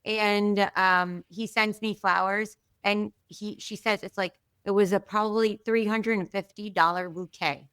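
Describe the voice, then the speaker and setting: A woman guest telling a story in a casual, animated way, full of fillers and asides, from Israel. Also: mid-range voice, measured pace, few pauses, clean tone, dry recording. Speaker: podcast guest.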